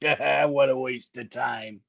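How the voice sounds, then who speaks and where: A man playing a gruff, slangy, grudging skeptic in a 1950s radio comedy style. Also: mid-range voice, fairly even intonation, slow, fairly bright tone, dull audio, exaggerated voice. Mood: dismissive. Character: gruff, skeptical grown man who doubts Santa.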